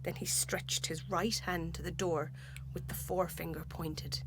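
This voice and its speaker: A woman with an Irish accent narrating a literary short story in a measured, dramatic way. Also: lowish voice, steady tone, background noise.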